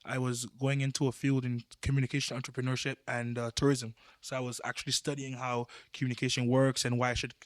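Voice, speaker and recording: A man's voice: mid-range voice, little pitch movement, fast talker, hardly any pauses, dry recording, studio quality. A young man from Barbados with a Barbadian accent, explaining his views earnestly in a casual youth podcast discussion. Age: young adult. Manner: earnest, explanatory, trails off quietly.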